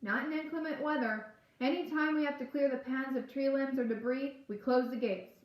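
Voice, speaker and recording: A woman's voice: sits high, moderate pace, muffled recording, pitched above the performer's own voice, natural voice. A woman voicing a male cemetery groundskeeper in a storybook, firm and plain but kindly. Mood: firm, dismissive. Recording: echoey room.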